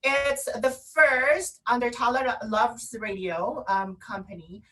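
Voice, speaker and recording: Woman, Filipino accent, very bright high voice, excitable radio co-host, casual promo chat, distant from the mic. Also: takes it slowly. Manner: excitable, gushing. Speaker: online radio show host.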